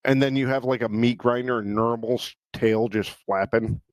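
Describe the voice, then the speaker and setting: A man's voice: mid-range voice, fairly even intonation, normal speed, no echo. A man co-hosting a podcast with blunt, crude, casual banter. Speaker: podcast co-host.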